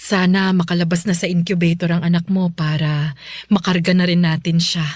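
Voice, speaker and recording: A woman's voice: on the low side, flat delivery, normal speed, darkish tone, dry recording, clean studio sound, close to an everyday voice. A woman acting as a fond, kindly middle-aged aunt in a Filipino radio drama, affectionate and comforting.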